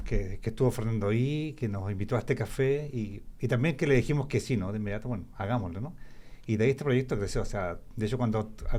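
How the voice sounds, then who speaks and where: Calm man from Chile, interview guest, steady measured answers. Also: medium pitch. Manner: calm, tags phrases with "no?". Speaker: guest, photojournalist and filmmaker.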